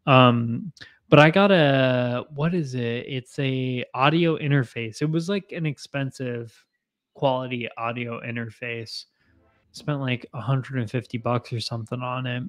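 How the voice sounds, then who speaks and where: A man's voice: mid-range voice, measured pace. Chatty rambling young man at home bar. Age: 20s. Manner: rambling, self-deprecating, chatty. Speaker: livestream host. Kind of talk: casual live chat with viewers. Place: home bar with bottle shelves, indoors. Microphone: boom mic in front of face.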